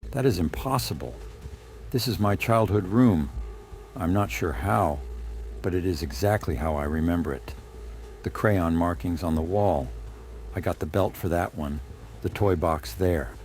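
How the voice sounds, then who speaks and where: A man's voice: low voice, expressive, a little slow, darkish tone, a little hiss or hum. A man playing a confused, frightened but defiant dead man who questions and mutters to himself in a horror audio drama. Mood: uncertain, musing.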